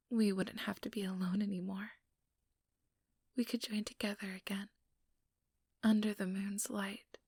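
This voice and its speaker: A woman plays an ambitious vampire recruiter in a whispered ASMR role-play. Her voice is very husky and breathy, with a creaky edge, captured close and dry. She coaxes softly and deliberately, manipulative yet soothing. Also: mid-range voice, dry recording. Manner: intimate, coaxing, deliberate. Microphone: close microphone, dry.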